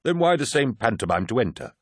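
A man's voice: medium pitch, big pitch swings, fast talker, husky, no echo, pitched above the performer's own voice. A suspicious, hot-headed warrior captain, played by a male audiobook reader. He talks curtly and with open menace. The sound is very dry and close. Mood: wry suspicion. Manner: curt, menacing. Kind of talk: dramatic audiobook character voice.

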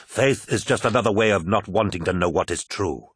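A man voicing a sly, sneering warrior priest of dark sorcery in an audiobook, a persuasive tempter with a deliberate, insinuating manner. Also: fairly low pitch, husky.

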